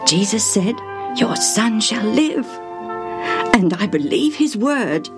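A woman's voice: medium pitch, big pitch swings, slow pace, over music, pitched above the performer's own voice. A woman voicing a desperate middle-aged father, a royal official, speaking in a quivering, pleading, urgent way. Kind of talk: storybook reading.

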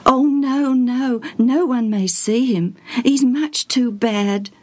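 A woman's voice: on the high side, takes it slowly, dry recording, pitched above the performer's own voice. A woman voicing a faithful, grieving servant woman in a storybook reading, sobbing and lamenting in a folksy way. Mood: anxious, sorrowful. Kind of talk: dramatised Bible storybook reading.

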